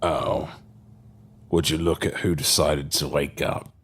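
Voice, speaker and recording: A man's voice: rumbling low, flat delivery, takes it slowly, slightly rough, full character voice. An actor playing a menacing, sardonic demon in a possessed man's body in an audio horror drama. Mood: gloating. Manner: sardonic, taunting, theatrical. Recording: music under the voice.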